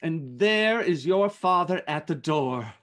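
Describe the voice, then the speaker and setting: A man's voice: fairly high pitch, animated, slow, a bit bright, pitched above the performer's own voice. A man voicing a grieving mother who keeps bravely cheerful, tender and faltering, in a storybook reading.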